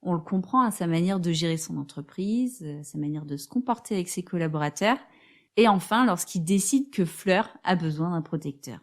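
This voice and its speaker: A woman's voice: lowish voice, normal speed. A woman hosting a book podcast, asking prepared interview questions and reading a book summary. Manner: steady, reads prepared questions. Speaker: podcast host.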